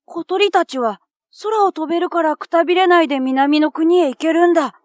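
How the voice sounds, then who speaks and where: Young boy squirrel, played by a woman: high, dry close sound, earnest and dreamy, storybook style over soft music. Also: normal speed, dry recording. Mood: realizing. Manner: boyish, wistful. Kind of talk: children's animated storybook dialogue. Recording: children's music behind.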